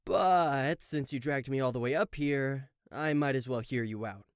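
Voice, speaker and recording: A man's voice: medium pitch, normal speed, clear, resonant tone, dry recording, studio quality, close to an everyday voice. A man voicing a theatrical, sarcastic demon king of hell in a roleplay audio drama, proud and showy in manner. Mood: exasperated, mocking. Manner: sarcastic, showy, stammering in disbelief. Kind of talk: roleplay audio drama acting addressed to the listener.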